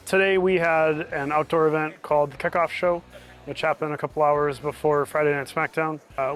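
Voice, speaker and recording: A man giving a testimonial interview as a backstage audio technician. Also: medium pitch. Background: background music.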